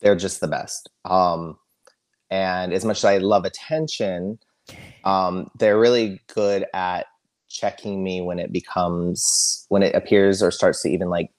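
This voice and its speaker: A middle-aged man as a podcast guest, talking candidly and loudly, laughing often. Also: sits low, a little slow. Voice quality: loud voice. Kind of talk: casual interview chat.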